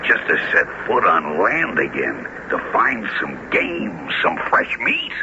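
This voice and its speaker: An actor in a radio drama playing a gruff, impatient sailor who is blunt and hot-headed, with a gravelly voice. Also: male voice, rumbling low, sing-song, takes it slowly, heavily acted. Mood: restless pleading. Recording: noisy, muffled old radio broadcast.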